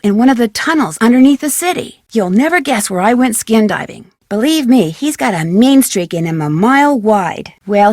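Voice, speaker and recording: A young woman playing a plucky, curious amateur detective in scripted video game dialogue, with a clear, earnest, chatty delivery. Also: fairly high pitch, no room sound, clean studio sound, lightly acted. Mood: casual, upbeat. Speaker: voice actor as game heroine.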